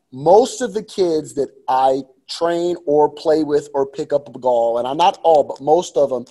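A man's voice: a little high, mellow, rough recording. A man in his forties speaking as a guest coach in a casual interview, enthusiastic and emphatic, repeating phrases to drive them home. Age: 40s.

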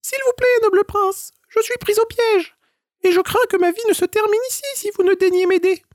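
A man voicing a small, polite male squirrel in a very high, quick voice. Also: no room sound, clean studio sound. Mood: pleading. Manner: squeaky, rapid, polite.

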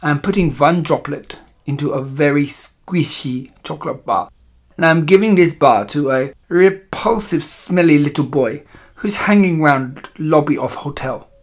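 A man's voice: a little high, lively intonation. Man putting on an imperious, malevolent witch. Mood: sneering. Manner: slow, emphatic, broken grammar. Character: imperious, malevolent female Grand High Witch plotting against children.

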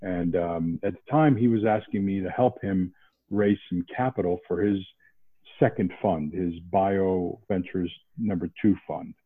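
A man from around Boston, a podcast guest, talking in a casual animated way with many restarts. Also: sits low, unhurried, husky, dry recording. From New England, outside Boston. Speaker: podcast guest, investment banker. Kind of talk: casual interview talk. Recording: tinny phone-like line.

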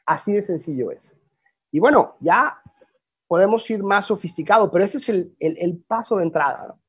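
A man's voice: high-pitched, lively intonation, moderate pace, breathy edge, no echo. Portuguese-accented man giving a dry lecture. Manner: explanatory, with frequent restarts and fillers. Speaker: arbitration lecturer. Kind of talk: webinar presentation. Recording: dry, dull lo-fi sound.

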